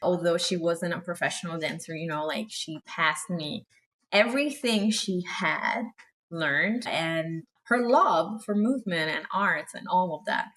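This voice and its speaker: A woman's voice: fairly low pitch, unhurried, a bit bright. Chatty woman dancer. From Italy. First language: Italian. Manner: chatty, emphatic, adds "okay?" to check in. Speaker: professional dancer and choreographer. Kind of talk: casual talk to camera.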